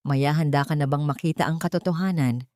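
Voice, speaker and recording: A woman voicing a calm, devoted male lawyer in an audio story, gentle, earnest and formal. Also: low-pitched, fairly quick, no echo, studio quality, lightly acted. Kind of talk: audio story character reading.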